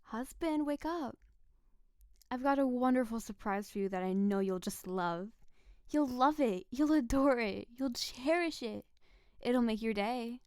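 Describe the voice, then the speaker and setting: A woman's voice: a little high, unhurried, a little mellow, smooth, no echo, clean studio sound, natural voice. A woman playing a playful, possessive snow-spirit wife in an audio roleplay, coaxing and doting in a theatrical way. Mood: doting, pleading. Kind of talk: scripted audio roleplay addressed to the listener.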